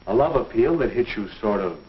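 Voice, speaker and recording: Actor as genial 1950s minister, very lively intonation. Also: male voice, lowish voice, a little hiss or hum, lightly acted. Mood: explaining. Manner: avuncular, measured, folksy lecturing. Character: genial, earnest male minister counseling a young couple. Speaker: actor in an instructional film. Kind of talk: 1950s instructional film acting. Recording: dull 1950s film soundtrack.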